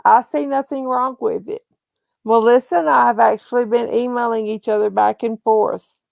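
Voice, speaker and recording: A woman's voice: on the high side, fairly even intonation, moderate pace, vocal fry, a little mellow, no echo. A woman hosting a casual livestream, chatty and often restarting her phrases. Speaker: livestream host.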